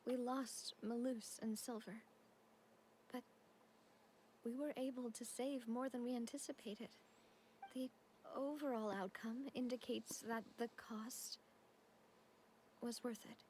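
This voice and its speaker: A woman acting a gracious, gentle young female leader in a video game, grieving yet composed, over music. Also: a little high, fairly even intonation, a little hiss or hum, rough recording. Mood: sorrowful, grateful. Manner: gentle, measured, sincere. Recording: music behind the voice.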